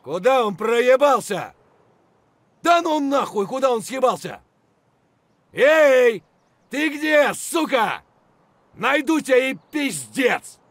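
Aggressive mercenary soldier. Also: male voice, very high-pitched, sing-song, slow pace, long pauses, a bit bright, no room sound, exaggerated voice. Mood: irritated, searching. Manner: short, punchy tactical callouts, coarse. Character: aggressive, foul-mouthed Russian mercenary soldier in combat. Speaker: video game voice actor. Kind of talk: military shooter combat barks.

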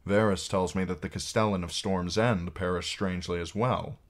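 A man's voice: sits low, fairly even intonation, moderate pace, lightly acted. A man voicing a bitter, cynical knight commander in an audiobook, speaking in a dry, sardonic, commanding way. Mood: curt, commanding.

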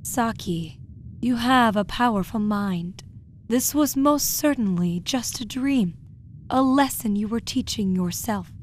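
An actress playing a calm, devoted counselor to a warlord in a comic dub, measured and reassuring. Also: female voice, a little high, slight background noise. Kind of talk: comic-dub drama acting.

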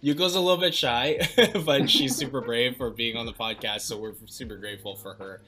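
A young man hosting a casual relationship podcast, upbeat and quick with affirmations, speaking into a headset mic on a video call. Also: mid-range voice, sharp tone, slight background noise. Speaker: podcast host. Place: indoors, home room.

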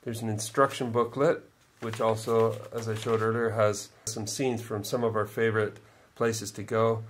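A man's voice: on the low side, flat delivery, normal speed. Middle-aged man presenting a product casually. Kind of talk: casual product demonstration to camera. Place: living room of a log cabin, indoors.

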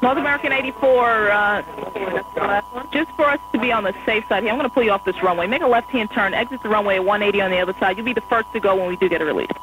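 A woman air traffic controller in an airport tower, giving quick, polite instructions to pilots over the radio. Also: mid-range voice. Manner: brisk, polite and apologetic. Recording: noisy radio transmission with hum.

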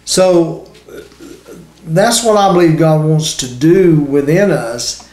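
Male preacher, 60s. Unhurried, hesitant, informal delivery in a roomy space. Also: a little high, a little mellow. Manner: halting, searching for words. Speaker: preacher, ministry co-host. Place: small indoor room. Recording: roomy, echoey indoor sound.

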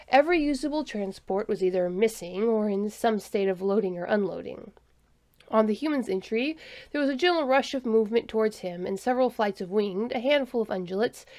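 A woman's voice: mid-range voice, a little mellow, dry recording. A woman narrating a scripted story as an audio narrator.

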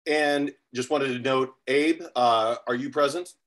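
A man's voice: mid-range voice, fairly even intonation, a little slow, a bit bright. Man, middle-aged, committee chair, video call, plain procedural delivery, filler words. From San Diego, USA.

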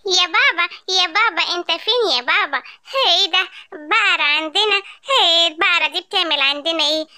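A woman's voice: very high voice, fairly quick, sharp tone, no room sound, clean studio sound, exaggerated voice. A woman voicing a naive, plaintive young male animal in a children's story, with an Egyptian accent. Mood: curious, uneasy. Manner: calls out repeatedly, plaintive.

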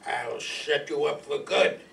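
A man playing a hot-tempered scam artist in 1940s radio gangster style, gravelly, forceful and blustering. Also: medium pitch, fairly even intonation, slow, heavily acted. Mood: angry. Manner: tough-guy slang, blustering. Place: live stage reading in a meeting room. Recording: distant mic, noisy hall.

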